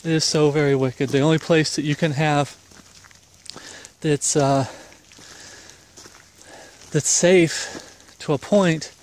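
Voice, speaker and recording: A man's voice: fairly high pitch, fairly even intonation, slow, long pauses, a little hiss or hum. Earnest middle-aged man preaching on a walk. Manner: earnest, rambling, exhorting with fillers; walking while talking. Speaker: street preacher. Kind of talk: informal walking sermon. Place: foggy woodland trail outdoors. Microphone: phone camera held at arm's length.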